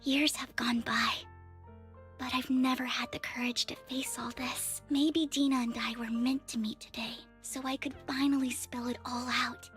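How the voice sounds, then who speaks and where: An actress playing an anguished, guilt-ridden young woman in a video game, soft and breathy as she confides. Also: high-pitched, level tone, normal speed, faint music behind. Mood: tender gratitude. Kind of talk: dramatic video game voice acting.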